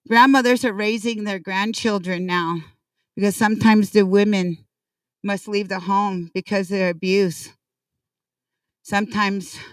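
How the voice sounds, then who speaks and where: A woman's voice: medium pitch, smooth, no room sound. Earnest woman addressing a ceremony. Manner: earnest, repetitive, halting. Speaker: ceremony speaker. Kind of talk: ceremonial speech. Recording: distant dry pickup.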